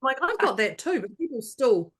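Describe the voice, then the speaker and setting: Woman, podcast co-host, animated storyteller, restarts often, quotes others in voices. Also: high voice, moderate pace, slightly rough. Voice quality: not calming, by her own account. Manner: animated anecdotes, many restarts, acts out others' lines. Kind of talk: casual podcast chat, storytelling.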